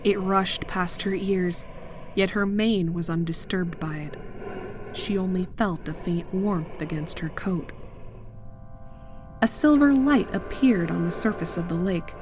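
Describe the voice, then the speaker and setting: Narrator: woman, lowish register, measured dramatic horror storytelling over soft music.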